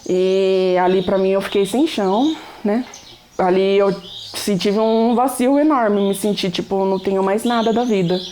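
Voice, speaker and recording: A woman's voice: mid-range voice, unhurried, warm tone, slight background noise. A young Brazilian woman gives a reflective personal testimony, often ending phrases with tag questions. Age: young adult. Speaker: resident sharing her testimony.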